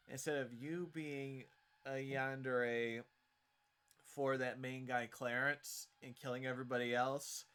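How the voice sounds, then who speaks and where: A man streams in a clear, resonant voice at an unhurried pace. His pitch sits in the middle range, and he explains casually, often halting and rambling as he goes. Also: fairly bright tone. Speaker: streamer showing own visual novel. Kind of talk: casual livestream chat.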